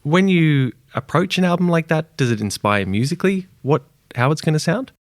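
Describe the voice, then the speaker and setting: A man's voice: on the high side, lively intonation, moderate pace, no echo, clean studio sound. A man hosting a podcast interview, asking easygoing questions and backing the guest with short agreements. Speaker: podcast host.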